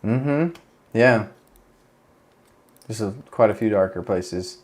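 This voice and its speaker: Young man, low voice, co-host, short reactions, casual stream chat. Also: expressive, slow pace. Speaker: co-host mentor.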